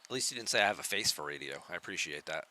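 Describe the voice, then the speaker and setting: A man chatting casually on a live game stream, answering viewers in a relaxed, soft-spoken way at a home computer mic. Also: racing, a little hiss or hum, low-quality recording. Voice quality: soft-spoken, low volume.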